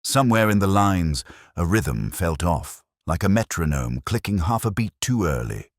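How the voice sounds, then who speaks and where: A man narrating a literary detective story in the first person, in a measured, reflective way. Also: deep voice, very animated, no room sound, clean studio sound. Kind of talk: scripted literary mystery narration.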